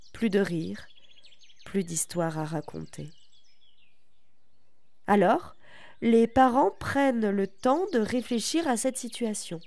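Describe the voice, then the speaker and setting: A woman narrating a children's tale as a storyteller, in a calm and deliberate way. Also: medium pitch, gravelly, no room sound.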